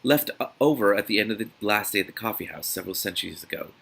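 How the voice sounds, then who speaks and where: A man reads fiction aloud in a dramatic, expressive way, breaking off for his own asides. His voice sits fairly low and has a husky grain, with a bright, forceful edge. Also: fast talker. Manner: dramatic, expressive reading with exclaimed interjections. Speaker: reader and host of a read-aloud reaction series. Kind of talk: reading a novel aloud with reaction asides.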